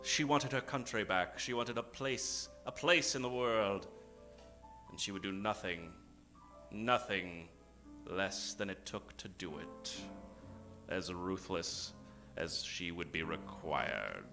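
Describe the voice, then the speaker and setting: Bright-voiced man, theatrical old-time radio announcer. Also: deep voice. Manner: dramatic, with drawn-out suspenseful pauses and sudden emphasis. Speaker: announcer and host of an improvised radio show. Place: theater stage. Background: live keyboard music.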